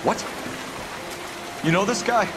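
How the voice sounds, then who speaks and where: An actor playing an intense, defensive detective and former lieutenant in a video game drama, speaking with urgency. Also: male voice, sits high, slow pace. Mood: alarmed. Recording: loud rain and water noise behind.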